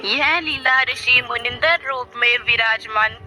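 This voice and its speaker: A woman's voice: a little high, a little slow, over music. A woman narrating a scripted story as a storyteller.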